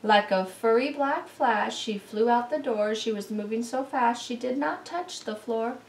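A middle-aged woman reading a rhyming picture book aloud to children in an expressive, sing-song way. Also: a little high, unhurried, a little hiss or hum. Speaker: read-aloud storyteller for children. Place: room at home beside a Christmas tree. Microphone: camera mic at some distance. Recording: roomy, distant camera recording.

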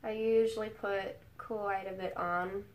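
A woman's voice: medium pitch, steady tone, unhurried, highs cut off. A young woman beauty vlogger with a sick, nasal voice, chatting casually in her bedroom while doing her makeup, rambling with lots of fillers. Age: young adult. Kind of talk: casual makeup tutorial chat. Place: bedroom at home, indoors.

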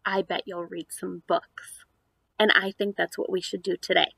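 A woman's voice: lowish voice, flat delivery, moderate pace, gravelly, bright, forceful tone, slightly rough, no echo, rough recording. A woman in her thirties speaking as a preschool teacher to young children, in an exaggerated sing-song read-aloud delivery. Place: outdoors on a house porch.

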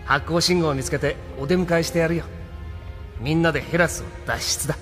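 An actor playing a confident, breezy rebel pilot in an anime audio drama, giving orders in casual, commanding speech. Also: male voice, a little high, a little fast, a bit bright, big-room sound, soft background music, close to an everyday voice. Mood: brisk, commanding.

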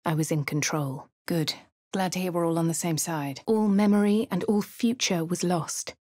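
A woman voicing a refined, posh young adventurer with a British accent, speaking crisp, clipped game lines and narration. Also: fairly low pitch, moderate pace, a little mellow, no room sound, clean studio sound, lightly acted. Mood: composed. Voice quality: crisp, well-spoken. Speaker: player character.

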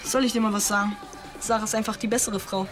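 Teasing teenage schoolboy, quick delivery, wry, needling, TV youth drama. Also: male voice, high voice, fairly even intonation, a bit bright, faint music behind. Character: teasing, cheeky teenage schoolboy best friend. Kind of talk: scripted TV drama dialogue.

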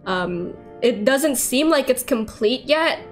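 High-voiced young woman streamer over music. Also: animated, slow pace. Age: young adult. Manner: chatty, informal, slangy. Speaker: game streamer. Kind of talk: casual livestream chat.

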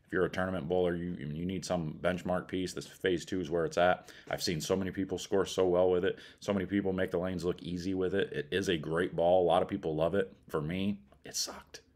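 A man's voice: deep voice, flat delivery, fairly quick, hardly any pauses, a little mellow. A middle-aged man with an American accent gives a casual product review in a blunt, colloquial way. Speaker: bowling ball reviewer.

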